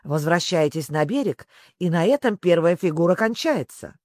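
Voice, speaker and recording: A storyteller voicing a wistful, excitable sea turtle creature who talks in a plaintive, sobbing manner. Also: normal speed, no echo, clean studio sound, lightly acted. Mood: dejected. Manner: sobbing, plaintive, breaking into squeaks and yells.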